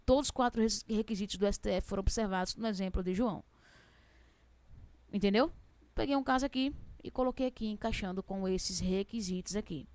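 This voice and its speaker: A young woman with a strong regional accent teaching law for civil service exams, fast-talking and chatty, asking questions and answering them herself. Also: medium pitch, darkish tone, no echo. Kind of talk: exam prep lesson.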